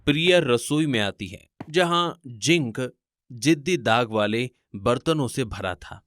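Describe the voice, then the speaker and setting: A man's voice: medium pitch, lively intonation, measured pace, a bit bright, no room sound, clean studio sound. A man narrating a scripted family story in a theatrical, rhetorical style.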